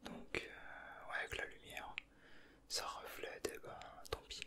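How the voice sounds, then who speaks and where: A man whispering softly in a casual ASMR style, with a breathy, hushed voice. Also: slow. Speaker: ASMR video creator. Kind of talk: whispered ASMR, chatting and reading aloud from a booklet.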